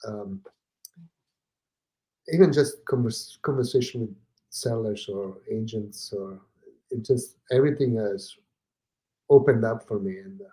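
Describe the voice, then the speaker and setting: A man from Italy with an Italian accent, a podcast guest talking casually and haltingly, with many fillers and restarts. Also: fairly low pitch, mellow. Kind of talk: casual conversation.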